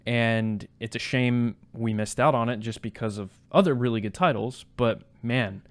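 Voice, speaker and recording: A man with a medium-pitched voice shares his views in a casual podcast review. His phrasing is informal and loose, with plenty of "like" dropped into the sentences. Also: moderate pace, clean tone. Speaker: podcast co-host. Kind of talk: casual video game review discussion.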